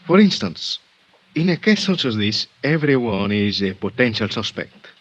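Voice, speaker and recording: A man playing a polite but firm, suspicious Mexican police lieutenant in 1950s radio drama, with a Mexican accent and formal, measured delivery. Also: fairly low pitch, sing-song. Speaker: actor in a radio detective serial. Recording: dull vintage radio recording.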